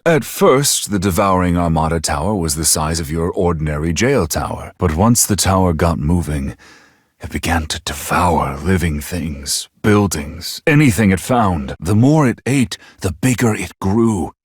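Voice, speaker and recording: Polite crazy schemer villain from a video game, very animated formal talk, dry close sound. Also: male voice, low voice, breathy edge, no room sound, full character voice. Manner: formal, articulate, theatrical phrasing. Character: polite scheming male villain, secretly crazed and vengeful.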